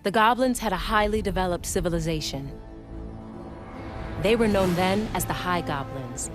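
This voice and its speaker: An actress playing a composed, proud warrior woman in an animated adventure game, speaking in a measured, formal storytelling way. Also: fairly low pitch, close to an everyday voice. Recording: loud background music.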